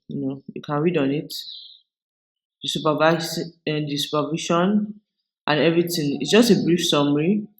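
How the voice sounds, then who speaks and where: A man from Nigeria with a Nigerian English accent, hosting a tutorial video and explaining each step in a relaxed way, with frequent restarts. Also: fairly high pitch, rough recording. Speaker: video host. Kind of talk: screen-recorded tutorial walkthrough.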